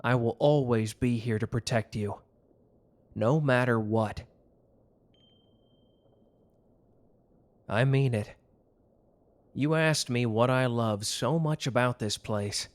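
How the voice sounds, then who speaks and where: A devoted mafia lover in a romantic audio drama, played by a man. His voice sits at a middle pitch. He is earnest and intimate, protective of his sweetheart, with a gruff, hot-tempered streak. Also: measured pace, close to an everyday voice.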